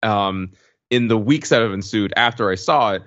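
A man's voice: fairly low pitch, moderate pace, fairly bright tone, dry recording, dull audio. A man giving his view as a podcast panelist in a casual, hesitant, conversational way. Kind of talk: casual TV show discussion.

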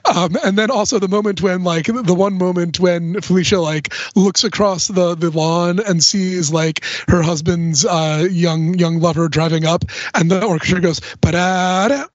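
Higher-voiced man, dull dry recording. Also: steady tone, moderate pace, few pauses, dry recording. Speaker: podcast panelist. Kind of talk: casual film and music discussion. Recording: dull, muffled close microphone.

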